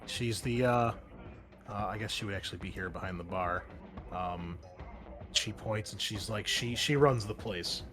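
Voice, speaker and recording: A man with a fairly low voice acts as game master, describing scenes casually and haltingly as he thinks aloud. Music plays softly behind him. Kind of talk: improvised tabletop game narration. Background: background music.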